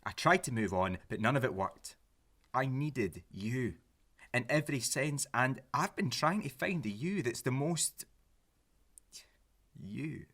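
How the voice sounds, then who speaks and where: A man's voice: medium pitch, expressive, measured pace, long pauses, a bit bright. A man acting a grieving widower on a first date in an audio drama, hesitant, stammering and self-justifying. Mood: pleading. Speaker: actor in a sci-fi audio drama. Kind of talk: naturalistic audio drama dialogue.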